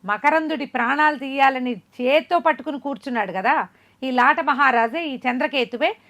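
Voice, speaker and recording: A woman storyteller giving voice to a wronged young woman who pleads earnestly before the king. The voice sits fairly high and stays close to the teller's own, an earnest appeal read aloud in a spoken folk tale. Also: normal speed, a bit bright. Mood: pleading.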